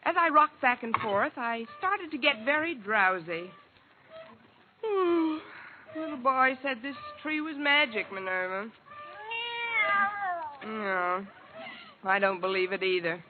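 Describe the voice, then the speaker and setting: A woman's voice: a little high, slow, pauses often, narrow, tinny audio. An actress playing a wry, wisecracking single woman in a mid-century radio sitcom, on an old recording. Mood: musing narration, then drowsy. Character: wry single woman alone with her cat. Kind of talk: 1940s-50s radio sitcom acting and narration. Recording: noisy, band-limited old radio recording.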